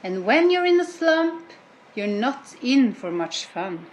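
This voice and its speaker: A woman's voice: a little high, big pitch swings, slow pace, rough recording. A woman teacher reading a children's rhyming book aloud over faint background noise.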